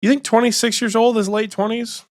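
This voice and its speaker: A man's voice: very high-pitched, little pitch movement, brisk, dry recording, studio quality. Rambling twenty-something man. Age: 20s. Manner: rambling, swears casually. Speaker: podcast co-host. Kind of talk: casual chat.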